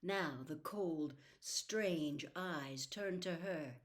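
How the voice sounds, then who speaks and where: A woman with a slightly low voice reads a novel aloud as its narrator. Her storytelling is dramatic, expressive and deliberately phrased. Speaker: audiobook narrator.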